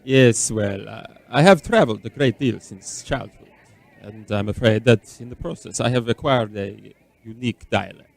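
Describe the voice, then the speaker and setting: An actor playing a mysterious, well-traveled gentleman in a radio drama, with a seasoned voice, formal courteous phrasing and a hard-to-place foreign-tinged accent. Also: on the low side, gravelly. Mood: courteous, reserved. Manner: formal, polite, measured.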